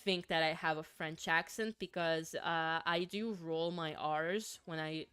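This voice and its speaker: Smooth-voiced giggly Finnish woman streamer. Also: low-pitched, fairly even intonation, unhurried, a bit bright, dry recording. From Finland. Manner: giggly, stammers and repeats words. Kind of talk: casual livestream chat with viewers.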